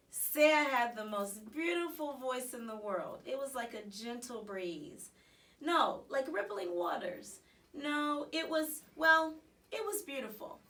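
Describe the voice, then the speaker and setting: A woman reading a children's story aloud in an expressive, animated way with dramatic emphasis. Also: on the high side, recorded from a distance. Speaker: storybook reader.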